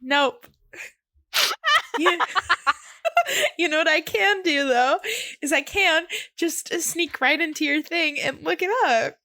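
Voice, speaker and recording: A woman co-host chatting casually in a high, bright voice. She is playful and informal, given to little exclamations. The sound is dry and close. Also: animated, slow pace, pauses often, no echo. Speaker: podcast co-host. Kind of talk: casual chat.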